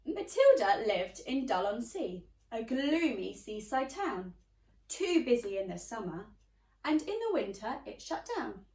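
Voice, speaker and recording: A woman's voice: on the high side, very animated, unhurried, darkish tone. A woman teacher reading a children's storybook aloud, expressively addressing young listeners. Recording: roomy, slightly distant microphone.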